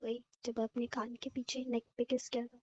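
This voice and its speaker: High-voiced young woman, giggly on the phone. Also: little pitch movement, moderate pace, dark tone. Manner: giggly, teasing, coy. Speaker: caller. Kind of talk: casual phone chat. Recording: dull lo-fi phone call recording.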